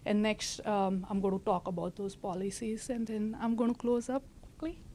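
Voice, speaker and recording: A middle-aged woman from India with an Indian accent, presenting as a government official in a polite, measured, explanatory way. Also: mid-range voice, steady tone, dark tone, slight background noise. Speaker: county government director presenting. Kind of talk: prepared presentation to an audience.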